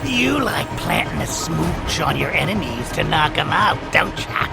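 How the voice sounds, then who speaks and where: A man's voice: a little high, sing-song, unhurried, creaky, over loud music, heavily acted. A man playing a bombastic, bloated alien showbiz tyrant in an audio drama, blustering and sneering with crude menace. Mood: gloating threats. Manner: blustering, drawling, sneering showman delivery.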